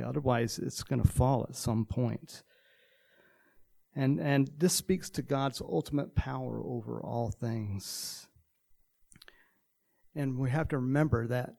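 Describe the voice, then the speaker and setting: A man's voice: medium pitch, gravelly, mellow. A man in his sixties from Texas with a slight Texan accent, preaching in a casual, rambling storytelling way with many fillers. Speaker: pastor.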